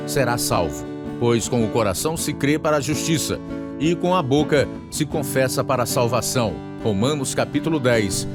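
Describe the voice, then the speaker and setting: A man with a Brazilian accent hosting and announcing a radio program with scripted narration. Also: mid-range voice. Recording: guitar music under the voice.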